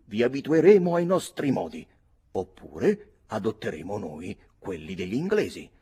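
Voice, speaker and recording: A big, disdainful English officer from a storybook, voiced by a male reader. His speech is sarcastic and ceremonious, with a husky edge and lively swings in pitch. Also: moderate pace, full character voice. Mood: exasperated. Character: big, haughty, sarcastic English army captain.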